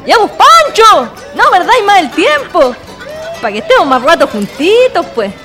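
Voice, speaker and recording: Radio drama role: coaxing Chilean country girlfriend, very high, lively swoops, coy and cooing. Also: normal speed, soft background music, full character voice. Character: coy, coaxing young sweetheart girl.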